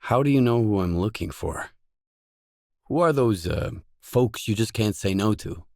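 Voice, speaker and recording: An actor voicing a gruff, guarded lone stalker for hire in a video game, speaking in terse, dry, self-assured lines. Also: male voice, fairly low pitch, lively intonation, normal speed, a little mellow, dry recording. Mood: wary.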